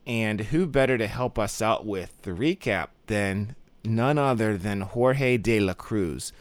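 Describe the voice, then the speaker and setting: A man's voice: on the low side, takes it slowly, dry recording. A man hosting a podcast in an upbeat, casual way, often saying "awesome" between questions. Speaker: podcast host.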